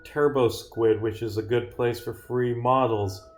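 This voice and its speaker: A man of medium pitch talks casually on a livestream while he works on a game. He thinks aloud in an off-the-cuff way, muttering to himself at times, with music playing behind him. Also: steady tone, a little slow.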